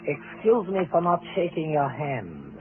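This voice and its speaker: Calm, detached harbinger of death, fairly high voice with wide swings, vintage radio mystery. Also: male voice. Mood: cold, ominous. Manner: deliberate, cryptic, detached. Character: eerie, pale stranger foretelling death, calm and cold. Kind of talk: 1940s radio drama acting. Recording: tinny vintage radio recording, faint noise.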